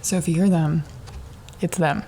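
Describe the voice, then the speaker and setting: Young woman, podcast host, slightly low voice, rambling, self-mocking, filler-heavy casual monologue. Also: fairly even intonation, moderate pace, warm tone, slight background noise, rough recording. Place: at home in the dark during a power outage.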